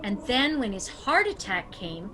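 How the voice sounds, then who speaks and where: A woman playing a sweet, prim elderly aunt in a theatrical radio comedy, genteel and gently scolding. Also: medium pitch, sing-song, measured pace, noisy background. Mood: fondly reminiscing. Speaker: actor.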